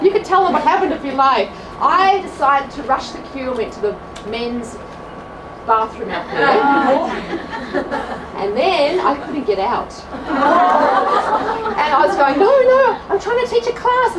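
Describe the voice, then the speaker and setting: Australian woman, animated teacher voice, higher pitch, heard from far off in an echoey hall with a crowd. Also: takes it slowly, pauses often, heavy background noise. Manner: chatty and animated, laughs at herself. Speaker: class presenter at a church event. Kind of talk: live talk to a class. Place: large room with an audience. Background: audience.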